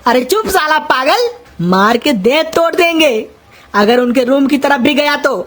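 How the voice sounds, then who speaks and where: A woman voicing a bossy, quick-tempered old uncle in a comedy cartoon, scolding and threatening. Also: high voice, expressive, faint music behind. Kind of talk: Hindi cartoon comedy voice acting.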